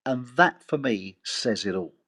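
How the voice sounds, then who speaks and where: A man in an online discussion, telling a history story with animated rhetorical questions. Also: medium pitch, a little slow. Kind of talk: casual storytelling.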